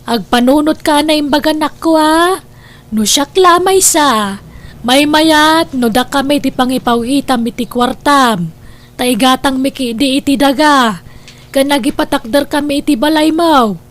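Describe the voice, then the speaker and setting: A woman's voice: high-pitched, unhurried. A woman with an Ilocano accent playing an older, scheming mother in an audio drama, insistent and scolding with her grown children. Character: scheming, nagging older mother, possessive of son's money.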